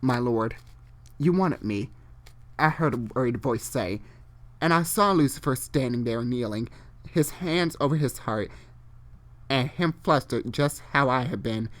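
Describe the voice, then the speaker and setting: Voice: medium pitch, normal speed. A host giving a dramatic story reading, stumbling over the text and breaking into exasperated, sweary commentary.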